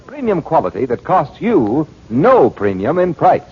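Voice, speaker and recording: Man, scripted vintage 1940s announcer, husky, lively intonation, dull old radio sound. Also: on the high side, a little slow. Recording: muffled 1940s radio recording.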